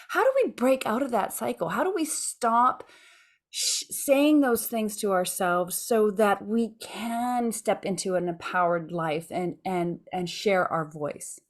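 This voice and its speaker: Woman hosting a podcast, gushing and upbeat, kinda stumbly restarts, mid pitch. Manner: enthusiastic, effusive, with frequent restarts. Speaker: podcast host. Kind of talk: podcast interview conversation.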